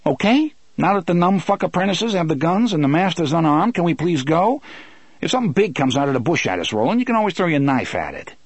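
A brash young man acted out by a male audiobook reader, pitched high and swinging wildly. He is a hot-tempered wisecracker, sarcastic and exasperated, and loud in his outbursts. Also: sing-song, fast, slightly rough, no echo, heavily acted. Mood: impatient, sarcastic.

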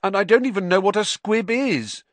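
Man playing a schoolboy wizard, raised pitch, earnest, anxious, defensive protests, storybook character. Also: slow pace, no echo. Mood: indignant protest. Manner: loud, hesitant protesting. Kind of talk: audiobook character voice.

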